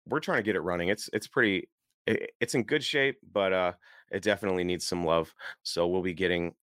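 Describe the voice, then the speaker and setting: A man with a tired, hoarse voice hosting a car show, chatting casually and easily to his listeners in a rambling, enthusiastic way. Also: deep voice, a bit bright, no room sound, clean studio sound. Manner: easygoing, chuckles often, rambling enthusiast. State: hoarse, worn-out voice. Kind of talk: casual solo chat to listeners.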